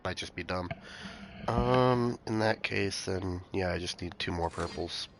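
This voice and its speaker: A male streamer with a stuffy nose, thinking aloud in relaxed gameplay commentary, with game music behind him. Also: deep voice, measured pace, gravelly, slight background noise.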